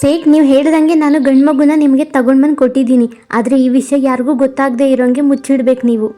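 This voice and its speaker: A woman voicing a scheming old village midwife in a cartoon, brisk and secretive. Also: high-pitched, level tone, mellow, close to an everyday voice.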